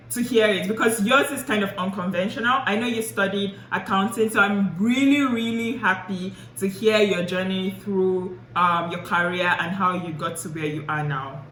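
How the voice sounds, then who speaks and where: A woman's voice: medium pitch, fairly bright tone. A woman hosting a conversational interview, asking questions as the interviewer. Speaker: interviewer and show host. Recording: echoey room with clear background noise.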